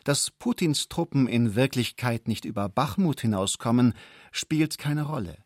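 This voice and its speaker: Male documentary narrator, scripted delivery, medium pitch, very dry studio sound. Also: expressive, a little slow, no echo.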